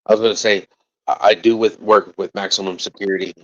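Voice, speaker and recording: Deep-voiced man, halting then defiant. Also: steady tone, no room sound, lo-fi sound. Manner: halting and rambling, then rising to defiant, forceful outbursts. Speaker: father of a missing child, interviewee. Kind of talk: interview answers.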